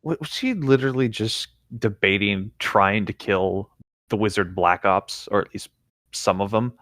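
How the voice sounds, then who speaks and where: A man's voice: fairly low pitch, measured pace, fairly bright tone, no room sound, clean studio sound. A man casually reading fiction aloud and commenting on it as a co-host. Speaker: co-host of a fanfiction reading show.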